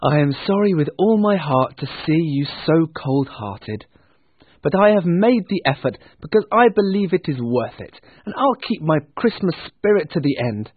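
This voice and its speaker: A man voicing a cheerful, earnest young gentleman in a storybook, hearty and pleading. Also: on the high side, sing-song, normal speed, husky, dry recording, pitched above the performer's own voice. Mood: pleading, warm. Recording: thin, phone-like narrow-band sound.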